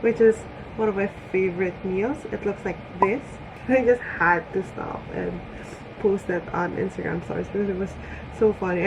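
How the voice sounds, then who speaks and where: A woman's voice: mid-range voice, highs cut off. Chatty woman, noisy recording. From Philippines. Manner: giggly, rambling, conversational. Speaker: book vlogger. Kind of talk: chatty, informal video diary to viewers. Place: indoors at home.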